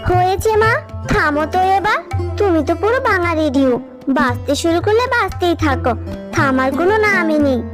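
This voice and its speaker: A woman voicing a lively, cheeky little girl fox in a children's cartoon, chattering in a childlike way. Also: very high voice, moderate pace, music in the background. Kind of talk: cartoon character acting.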